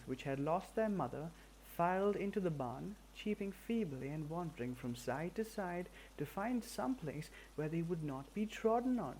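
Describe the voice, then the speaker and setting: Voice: mid-range voice, darkish tone, a little hiss or hum, poor audio. A person reading a storybook narration aloud from a script. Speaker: audiobook reader.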